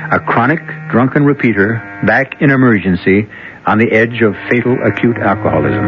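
Low-voiced man narrating radio horror. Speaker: host narrator. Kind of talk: dramatic scripted narration. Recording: muffled old radio broadcast. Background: loud music bed.

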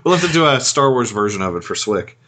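A man co-hosting a casual podcast chat, talking in a halting way with many repeated words. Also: mid-range voice, big pitch swings, sharp tone. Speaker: podcast co-host.

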